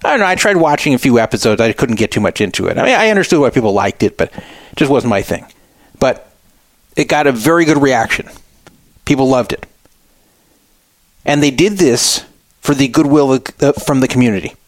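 A middle-aged man hosting a talk radio show, giving opinionated solo commentary with emphatic, deliberate phrasing and repetition for emphasis. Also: medium pitch, lively intonation, dry recording.

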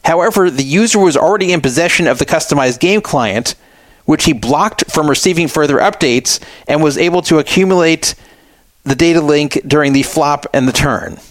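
A man's voice: on the high side, expressive, normal speed, dry recording. A man hosting a radio show, reading out and explaining things in a conversational, explanatory way. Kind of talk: talk radio commentary, reading out and explaining a statement.

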